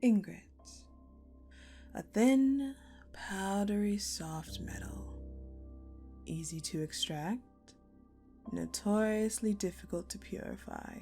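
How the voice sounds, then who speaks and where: A woman playing a cold, sadistic female general in a dark audio roleplay, speaking deliberately with mocking condescension and dramatic pauses. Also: medium pitch, creaky, soft background music. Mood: cool, calculating. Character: sadistic, coldly menacing ice-queen general, commanding and mockingly sweet. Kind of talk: dark audio roleplay acting, addressed to the listener.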